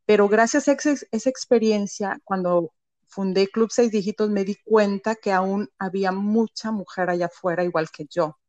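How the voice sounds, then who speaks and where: A woman's voice: mid-range voice, normal speed, a little mellow, no room sound. A woman with a Mexican accent, a business founder speaking as an interview guest, talking reflectively with many "eh" and "¿no?" tags. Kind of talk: conversational interview.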